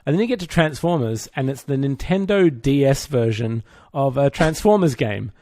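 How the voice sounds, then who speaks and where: A man's voice: medium pitch, expressive, normal speed, a little mellow, slight background noise. A man co-hosting a podcast, chatting casually about pop culture with dry humour and informal, sweary phrasing. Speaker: podcast co-host.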